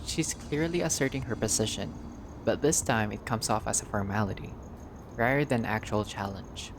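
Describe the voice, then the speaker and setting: A man's voice: fairly low pitch, normal speed, noisy background. A man reading a visual novel aloud as a playthrough narrator, in a storyteller-like way with brief casual asides.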